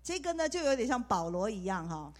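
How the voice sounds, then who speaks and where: A woman interpreting a sermon live, speaking in a quick, chatty way. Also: on the high side, very animated. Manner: quick, chatty, adds softening particles.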